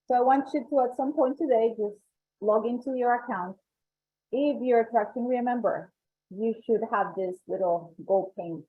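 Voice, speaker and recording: A woman with a Colombian accent presenting member benefits on a webinar, upbeat and salesy, repeating points for emphasis. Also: mid-range voice. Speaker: membership coordinator. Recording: tinny phone-like call audio.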